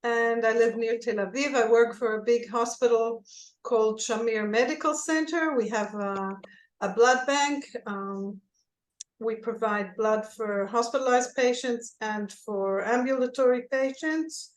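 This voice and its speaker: A woman's voice: on the high side, unhurried, reverberant, rough recording. A woman from Israel who speaks natively, a hospital blood bank physician answering interview questions in an earnest, hesitant way with many fillers.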